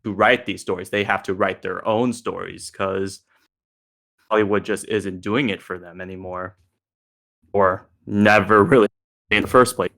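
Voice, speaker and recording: American guy, kinda low voice, informal interviewer chatting in a discussion. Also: steady tone, unhurried, gravelly, a bit bright. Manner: informal, uses 'like' and 'kinda' often. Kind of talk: casual discussion.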